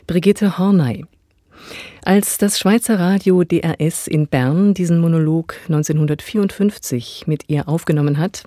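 Woman, radio presenter, mellow tone, dry close sound, measured scripted announcing. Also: low voice, no room sound.

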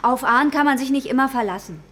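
An actress playing a guarded young waitress in a radio crime drama. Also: female voice, high-pitched, fast talker, slight background noise, close to an everyday voice. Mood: matter-of-fact. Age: young adult.

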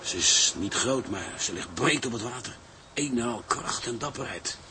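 An actor playing a plain-spoken seaman and business partner, measured and understated, in drama dialogue. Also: mid-range voice, expressive, sharp tone, a little hiss or hum. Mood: quietly impressed. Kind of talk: Dutch audio drama dialogue.

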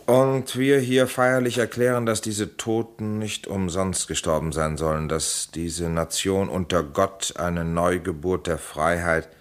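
An actor playing an eager, insistent amateur sleuth in a crime radio play, speaking with dramatic emphasis. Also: male voice, low-pitched, steady tone, a little slow, few pauses. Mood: intent, discovering. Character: insistent amateur sleuth, a male playwright under suspicion. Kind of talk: vintage German crime radio play acting.

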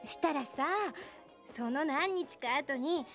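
A woman's voice: high voice, slow, creaky, fairly bright tone, soft background music, exaggerated voice. An actress playing a flighty, nosy young woman in a radio drama, talking in a casual, girlish, chatty and pleading way. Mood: excited gossip. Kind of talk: radio drama acting, casual conversational Japanese.

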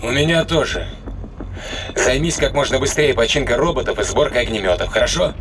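A man playing a gruff, defiant spaceship captain in an audio drama, blunt and commanding in the way he talks. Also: mid-range voice, expressive, fairly quick, background noise, natural voice. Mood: resolute.

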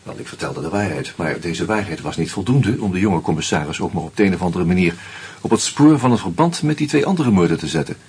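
An actor playing a wry, uneasy screenwriter who narrates a radio play in a measured, reflective way with hesitant fillers. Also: male voice, sits low, expressive, a little mellow, breathy edge, full character voice. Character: wry, uneasy male screenwriter caught up in murders.

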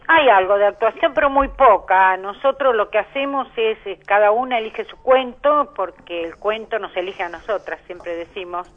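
A woman with an Argentine accent, speaking over the telephone as a storyteller being interviewed on the radio. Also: mid-range voice. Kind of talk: conversational phone interview. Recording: muffled telephone line on radio.

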